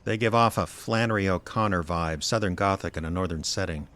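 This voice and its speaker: A broke small-town gumshoe in an audio drama. His voice sits on the low side, and his delivery is dry, wry and sardonic, grumbling like a noir narrator. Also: brisk. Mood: deadpan. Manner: deadpan, sardonic.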